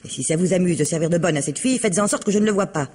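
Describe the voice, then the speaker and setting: An actress playing a haughty, spiteful invalid mistress of the house in a French radio drama, icy and cutting. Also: female voice, lowish voice, rapid-fire, darkish tone, slight background noise, natural voice. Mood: imperious, scornful. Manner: icy, cutting, falsely polite.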